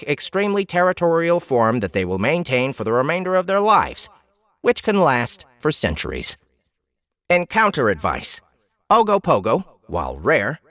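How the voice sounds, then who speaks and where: A man's voice: medium pitch, a bit bright, dry recording. A man playing an instructive voice of monster lore in a fantasy audiobook, explaining emphatically like an encyclopedia entry. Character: instructive narrator of magical monster lore entries. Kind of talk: scripted encyclopedia-style narration in fantasy audiobook.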